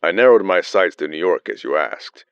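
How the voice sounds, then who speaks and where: A man playing a dutiful field agent in an audio drama, reporting in a formal, measured, deferential way. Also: low-pitched, very animated, smooth, no room sound, highs cut off.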